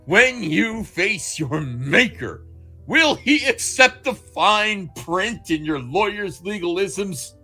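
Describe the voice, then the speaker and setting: A man's voice: high-pitched, expressive, takes it slowly, sharp tone, slightly rough, faint music behind, pitched above the performer's own voice, exaggerated voice. A man voicing a bitter, destitute, wronged writer, reading his angry letters aloud in a melodramatic, theatrical way. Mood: anguished, accusing. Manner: melodramatic, theatrical, swinging from outrage to near-whisper.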